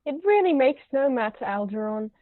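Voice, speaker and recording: A woman's voice: high-pitched, very animated, fairly quick, dry recording, phone-quality sound. A woman voicing an imperious older aristocratic aunt, stately and haughty, in a table read of a stage comedy over thin call audio. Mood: haughty gossip.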